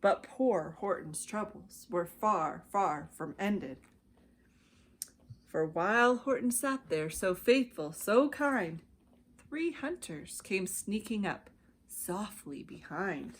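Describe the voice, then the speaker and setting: A woman with an American accent narrating a children's storybook with deliberate phrasing and dramatic pauses. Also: mid-range voice, animated. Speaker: children's ministry director reading a storybook.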